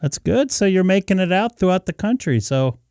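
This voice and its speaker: A man's voice: sits high, animated, brisk, darkish tone. A man hosting a ham radio live stream, bantering casually with the other operators. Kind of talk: casual on-air radio chat.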